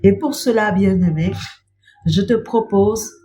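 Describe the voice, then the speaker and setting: Slow-speaking woman with a cold. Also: medium pitch, slight background noise. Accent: French. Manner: slow, gentle, repeats "bien-aimé" and pauses with "euh". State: has a cold. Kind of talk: devotional talk to camera.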